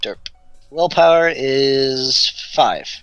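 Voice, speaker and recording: Male tabletop player, slow and unsure, self-deprecating mumbled asides, light music in the background. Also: mid-range voice, animated, a bit bright, clean tone, no room sound. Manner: hesitant, flustered, self-deprecating. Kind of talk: casual chat.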